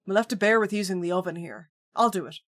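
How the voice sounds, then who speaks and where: A woman voicing a level-headed young male protagonist in a calm, matter-of-fact manner. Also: mid-range voice, quick pace, dry recording, studio quality, close to an everyday voice.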